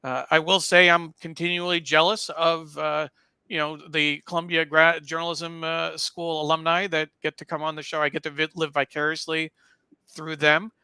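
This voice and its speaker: A man co-hosting a live talk show, commenting casually in a measured, hesitant way with pauses mid-sentence. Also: fairly high pitch, flat delivery, sharp tone, smooth, no room sound.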